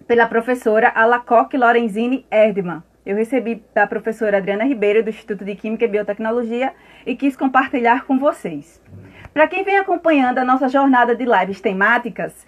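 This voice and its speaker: A woman with a Brazilian accent hosting an informal academic live stream, chatting directly to viewers and at times reading aloud. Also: high-pitched.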